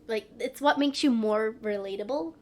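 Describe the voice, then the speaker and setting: A woman's voice: fairly high pitch, moderate pace, darkish tone. A young woman with a stuffy nose, chatting casually and rambling on a live stream from home. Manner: rambling, trailing off, frequent laughs.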